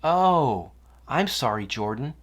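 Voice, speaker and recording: A woman voicing a kind, upbeat young schoolgirl in a children's story, speaking with animated encouragement. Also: rumbling low, takes it slowly, full character voice. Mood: sympathetic.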